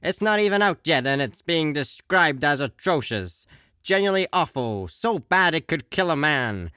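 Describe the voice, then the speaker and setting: An actor playing a slick, promotional announcer in a parody advert within a comedy radio play. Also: male voice, a little high, big pitch swings, normal speed, pushed and bright, clear, resonant tone, dry recording, clean studio sound. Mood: slick hype. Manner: punchy, promotional. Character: slick male advert announcer, cheerfully damning.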